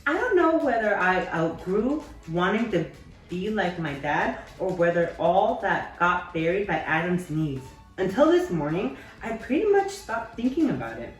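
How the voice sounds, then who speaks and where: A woman teacher reading a children's novel aloud in a measured, steady way, with occasional slips. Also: on the low side. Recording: echoey room, distant mic, faint music.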